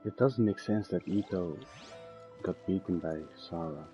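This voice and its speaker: A man's voice: low-pitched, moderate pace, muffled recording, over music. A man giving casual live gameplay commentary, musing aloud and repeating his questions. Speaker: streamer playing a game.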